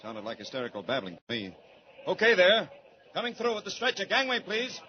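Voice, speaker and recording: An actor playing a brisk, dismissive male ambulance doctor in a 1940s radio drama. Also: high-pitched, wildly swooping pitch, highs cut off. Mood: curt. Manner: curt, matter-of-fact. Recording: scratchy 1940s radio transcription.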